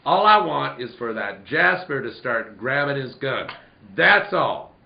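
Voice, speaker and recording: A man playing a scheming, bullying outlaw boss in a radio western, curt and domineering with a gravelly voice. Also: medium pitch, very animated, measured pace. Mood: scheming, bossy. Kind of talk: 1940s radio western acting. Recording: roomy, dull lo-fi recording.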